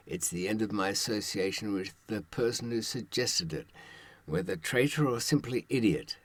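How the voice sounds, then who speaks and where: A man's voice: low voice, dry recording. An older man giving a conversational advisory monologue to his audience, wry and dryly sardonic, with rhetorical asides. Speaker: true-crime advice presenter and author.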